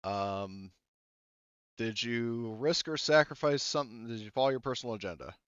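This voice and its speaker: A man's voice: medium pitch, expressive, normal speed, a bit bright, clear, resonant tone, no room sound, lo-fi sound. A man running a tabletop game session, talking in a loose, rambling way full of fillers. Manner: rambling, filler-heavy, laid-back. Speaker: game master.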